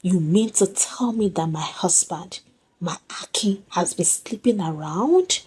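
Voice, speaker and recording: A woman's voice: on the low side, animated, measured pace, a little mellow, slightly rough, full character voice. Woman as a wounded, incredulous betrayed wife. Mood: shocked. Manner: incredulous, challenging.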